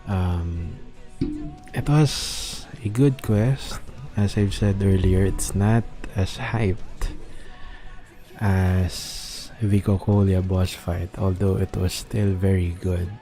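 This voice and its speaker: A man doing casual, low-key livestream commentary on a game, speaking hesitantly. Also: fairly even intonation, slow, warm tone. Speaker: game streamer. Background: game music.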